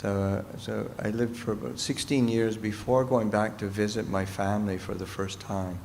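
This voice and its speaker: A middle-aged Canadian-raised monk answers questions slowly and thoughtfully, pausing often. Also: male voice, fairly low pitch, level tone, vocal fry, darkish tone. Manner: slow, halting, thoughtful speech with pauses. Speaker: Buddhist monk and teacher. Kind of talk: Dharma talk Q&A answer. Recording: reverberant hall with faint noise.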